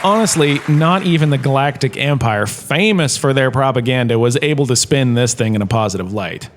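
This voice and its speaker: A man's voice: medium pitch, brisk, slight background noise, natural voice. An actor playing a chatty, self-important male professor in a comic audio drama lecture, with a theatrical, conversational manner. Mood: wry, emphatic. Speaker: lecturer, host of the show.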